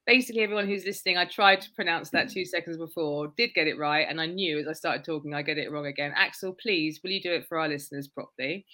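Woman podcast host, bright tone, lowish pitch, chatty and self-deprecating. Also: fast talker, few pauses. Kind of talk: casual interview chat.

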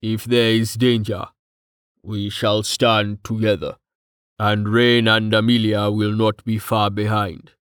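A man voicing a stony, protective male fantasy companion with a low rumble and a grave, measured manner, in an audiobook. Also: level tone, fairly bright tone, clear, resonant tone, no room sound, studio quality. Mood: solemn, loyal.

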